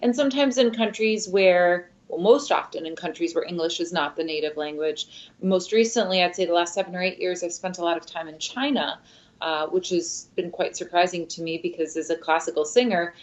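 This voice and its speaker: Woman, spanish was her first language, lowish voice, thoughtful answers w lots of ums, kinda muffled recording. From Michigan, USA. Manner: thoughtful, measured, many fillers. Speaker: guest, opera singer. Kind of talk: interview answers. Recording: dull lo-fi sound.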